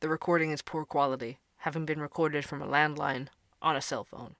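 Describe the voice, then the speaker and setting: A man playing a calm investigative podcast host. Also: on the high side, no room sound, studio quality. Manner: calm, measured, explanatory. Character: male podcast host investigating cold cases.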